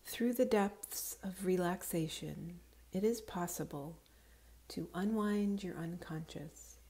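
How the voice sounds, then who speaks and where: A woman leads a guided meditation in a soft, fairly low voice with a mellow tone. She speaks very slowly and soothingly, with breathy edges to her phrases, giving calm, unhurried instructions. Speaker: yoga nidra guide.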